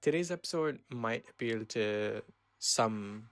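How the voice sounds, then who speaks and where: A man in his twenties from Pakistan, hosting a casual solo podcast and rambling with many pauses and self-corrections. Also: medium pitch, expressive, no room sound. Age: 20s. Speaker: podcast host.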